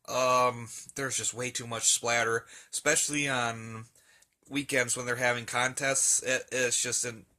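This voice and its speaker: A man with a very bright, forward voice talks casually to his camera at home. He rambles in a hesitant, folksy, conversational way, like a hobbyist giving a show-and-tell. His pitch sits in a middle range. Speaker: radio hobbyist vlogger. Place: indoors at home, room with radio shelves.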